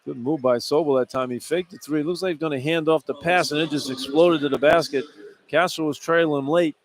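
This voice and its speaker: A man with a somewhat high voice offers color commentary on a live basketball broadcast. He is conversational, reacting and explaining in short bursts, with arena noise around him. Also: steady tone, fairly quick. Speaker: radio color analyst. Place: indoor arena at a live game.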